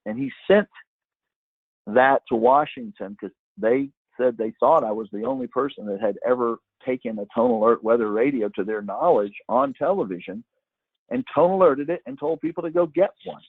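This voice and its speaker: A middle-aged man with a husky voice giving an informal, conversational webinar talk as presenter, explaining things and reminiscing. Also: medium pitch, expressive, moderate pace, no room sound. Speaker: webinar presenter, former broadcast meteorologist. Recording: muffled narrow phone-like line.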